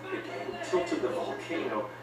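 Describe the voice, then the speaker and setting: A man's voice: a little high, wildly swooping pitch, a little slow. A man reading a children's read-along story as a scripted narration. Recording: echoey, distant, with loud music. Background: loud music.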